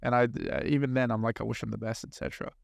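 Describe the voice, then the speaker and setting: A man's voice: medium pitch, steady tone, racing, vocal fry, dry recording. A man in his thirties from New Zealand with a New Zealand accent, hosting a podcast, reflective and earnest as he asks probing questions. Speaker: podcast host. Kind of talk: casual interview chat.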